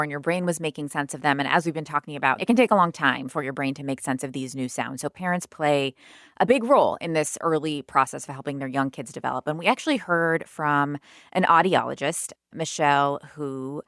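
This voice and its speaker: A woman hosting a radio program, narrating and asking her guest measured, guiding questions. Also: low voice, fairly bright tone, no echo, studio quality. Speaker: podcast host. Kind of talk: narration and interviewing.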